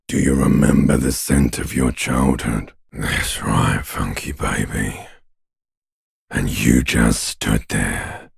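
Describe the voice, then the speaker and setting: Abyssally deep, gravelly primeval inner voice. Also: male voice, dry recording, clean studio sound, full character voice. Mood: mocking, sneering. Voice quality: extremely gravelly, raspy growl. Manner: slow, drawn-out, taunting delivery. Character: ancient reptilian brain, menacing mocking inner voice. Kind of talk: dramatic video game voice acting.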